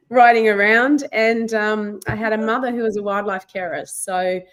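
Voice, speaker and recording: A woman's voice: fairly high pitch, animated, measured pace. A woman, a guest recalling her childhood in a chatty way, with small hesitations. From Australia. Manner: chatty, warm recollection with hesitations. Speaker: guest, wildlife TV presenter. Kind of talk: interview storytelling.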